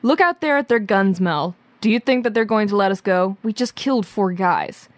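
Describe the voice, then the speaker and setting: A woman's voice: mid-range voice, animated, normal speed, dry recording, close to an everyday voice. A woman playing a blunt, commanding schoolgirl superhero sister in a radio drama. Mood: defiant.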